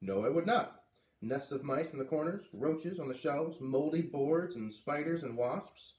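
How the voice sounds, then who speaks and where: A man reading a children's book aloud, expressively, with dramatic pauses on key words. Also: on the high side, lively intonation, darkish tone. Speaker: read-aloud reader. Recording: echoey room, distant microphone.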